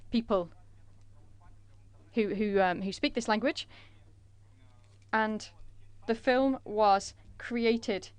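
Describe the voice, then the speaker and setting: A woman interpreting a conference presentation in a measured way, with frequent filler pauses. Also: medium pitch, clear, resonant tone, no echo.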